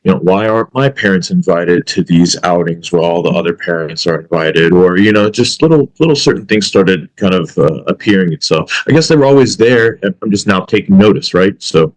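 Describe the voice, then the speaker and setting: A man's voice: sits low, animated, fairly quick, few pauses, low-quality recording. A man in his thirties from the United States whose first language is Arabic, talking reflectively as a podcast guest with frequent fillers. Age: 30s. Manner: reflective, many fillers and restarts. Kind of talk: casual interview chat.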